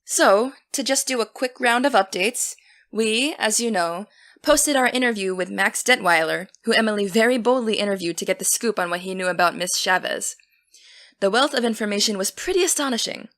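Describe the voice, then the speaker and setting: An actress playing an earnest teenage girl who hosts a podcast, keeping things steady and businesslike. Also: mid-range voice, normal speed, lightly acted. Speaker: podcast host. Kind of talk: scripted audio drama.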